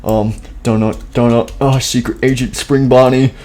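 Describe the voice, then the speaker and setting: Quiet mumbling robot rabbit, meek, warm low voice, done by a man. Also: little pitch movement, moderate pace, a little hiss or hum, lightly acted. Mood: sneaky. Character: sad, sneaky male animatronic rabbit, meek.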